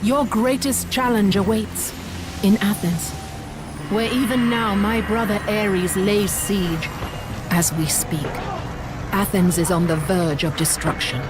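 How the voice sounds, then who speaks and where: An actress playing a calm, commanding goddess who speaks in a measured, regal way, over game music. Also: female voice, mid-range voice, lightly acted. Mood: solemn.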